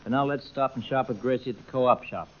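A man's voice: mid-range voice, fast talker. A man with a mid-range voice works as announcer and straight man. He delivers scripted 1940s radio variety patter. The old recording sounds dull and lo-fi.

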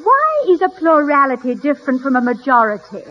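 An actress playing a playful, teasing, affectionate wife in a vintage radio comedy. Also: female voice, high-pitched, a little fast. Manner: pert, teasing, lively. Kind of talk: 1940s-50s radio comedy acting. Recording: muffled, hissy vintage radio recording.

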